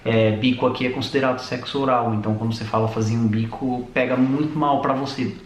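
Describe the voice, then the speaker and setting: A man's voice: medium pitch, fairly even intonation, fast talker. A young Brazilian man with a Brazilian Portuguese accent, co-hosting a casual vlog and explaining things with many restarts and fillers. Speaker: vlogger co-host. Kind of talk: casual chat. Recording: echoey room with faint noise.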